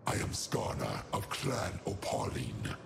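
An actor voicing an ancient, imperious giant scorpion creature in a video game, with menacing, solemn pronouncements and a gravelly, monstrously distorted voice. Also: male voice, low voice, huge pitch swings, slow, background noise, heavily acted. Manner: grandiose, solemn, threatening pronouncements. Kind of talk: theatrical video game voice lines. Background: music.

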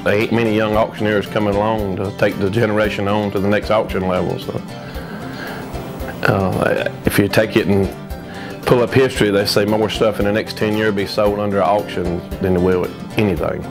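A man's voice: lowish voice, little pitch movement, normal speed. A man in his forties with a Southern US accent, an auctioneer talking in a documentary interview. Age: 40s. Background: guitar music.

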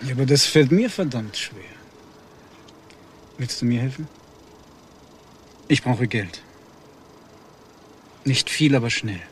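An aggrieved male lover played by a man in a crime drama. He is insistent and pressing, with a medium-pitched voice over faint background noise. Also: takes it slowly, long pauses, close to an everyday voice. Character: pressing, aggrieved secret lover demanding money. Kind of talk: German TV crime drama acting.

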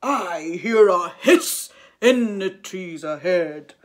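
A woman voicing a huge male storybook monster, loud and booming. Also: animated, extremely slow.

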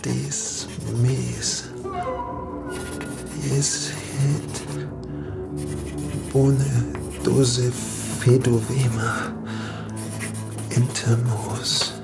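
An actor playing a horror-stricken man in a radio drama, haltingly recounting a nightmare with dread and repeated phrases. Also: mid-range voice, little pitch movement, vocal fry, loud music behind the voice. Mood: haunted dread.

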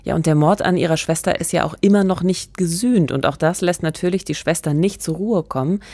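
A woman co-hosting a true-crime podcast in a radio studio, measured and asking questions. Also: lowish voice, darkish tone, no room sound. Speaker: podcast co-host. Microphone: studio microphone with pop filter, headphones.

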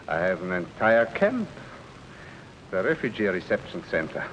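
Sly grinning refugee con man, wheedling, lowish voice that jumps all over, old tv film with faint rain hiss. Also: huge pitch swings, takes it slowly, a bit bright, lo-fi sound, heavily acted. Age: middle-aged. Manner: wheedling, teasing, chuckling. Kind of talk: 1950s TV drama acting.

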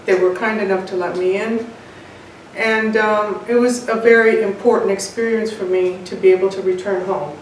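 A woman in her sixties telling her personal story in an interview, measured and reflective, with pauses. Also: medium pitch, steady tone. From United States, born in Cuba. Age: 60s. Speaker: interviewed home cook and author. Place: indoors, bright home room with large windows. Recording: very echoey room, distant mic, faint noise.

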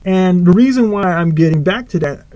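A man's voice: high-pitched, lively intonation, moderate pace, mellow, no echo, low-quality recording. Earnest rambling male podcast preacher. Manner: rambling, self-correcting, earnest. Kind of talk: informal podcast sermon. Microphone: home podcast microphone.

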